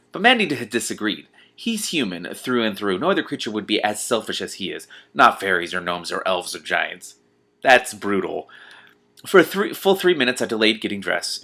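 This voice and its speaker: Expressive, bright-voiced man reading aloud. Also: lowish voice, creaky. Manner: expressive, breaks off to react in disbelief. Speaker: online reader who comments on books. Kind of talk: reading a novel aloud with exclaimed commentary.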